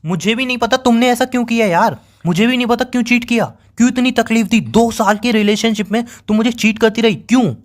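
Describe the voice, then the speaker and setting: A man's voice: very high-pitched, a little fast, hardly any pauses. A man playing a hurt, accusing young boyfriend in a dramatized breakup phone call, pleading and sarcastic and repeating himself for emphasis. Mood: anguished, raised. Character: hurt, accusing young boyfriend confronting his cheating girlfriend.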